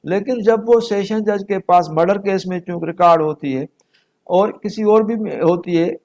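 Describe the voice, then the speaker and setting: A Pakistani man lecturing on law, explaining deliberately, repeating and rephrasing points and mixing in English legal terms. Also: high-pitched, gravelly, darkish tone.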